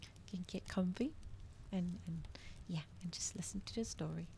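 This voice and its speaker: A soft-spoken woman reading a story and chatting to viewers in a cozy ASMR style, often slipping toward a whisper, close to the microphone. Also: fairly low pitch, moderate pace, gravelly, a little hiss or hum. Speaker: streamer reading aloud to viewers.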